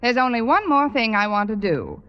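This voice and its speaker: An American actress playing a wry, wisecracking English teacher with dry comic timing in an old radio comedy. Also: female voice, fairly high pitch, big pitch swings, normal speed. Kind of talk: 1940s-50s radio comedy acting. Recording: muffled old radio recording, faint noise.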